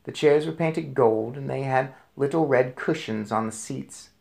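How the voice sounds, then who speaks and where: A man narrating a children's storybook aloud in an expressive read-aloud style. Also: mid-range voice, measured pace, creaky.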